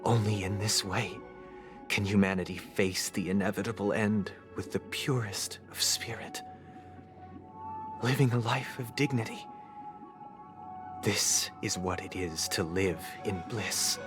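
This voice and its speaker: A man voicing a serene, grandiose young utopian villain in a video game, speaking in a measured, solemn, oratorical way. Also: fairly low pitch, faint music behind, close to an everyday voice. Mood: solemn, lofty. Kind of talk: scripted video game dramatic monologue.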